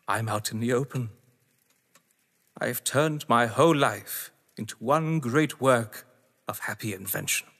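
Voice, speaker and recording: An actor playing a proud, eloquent, solitary gentleman who relishes games, in a theatrical audio drama style. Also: medium pitch. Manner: grandiloquent, theatrical. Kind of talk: full-cast audio drama acting of a stage play. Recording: long hall reverb.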